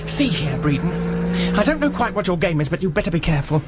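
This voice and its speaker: A man's voice: high voice, very animated, fast talker, very noisy. An actor playing an indignant, blustering office man in a radio drama, with an English accent and a tense, awkward manner. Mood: indignant, threatening. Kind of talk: BBC radio drama acting.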